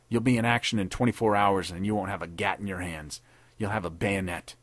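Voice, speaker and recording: A man playing a tough, vengeful army captain who speaks in gruff, threatening tones. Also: deep voice, no room sound.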